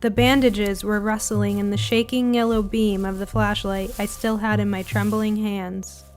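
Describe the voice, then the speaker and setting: A woman's voice: medium pitch, level tone, normal speed, darkish tone, faint music behind. A woman reading a scripted first-person spooky short story as its narrator.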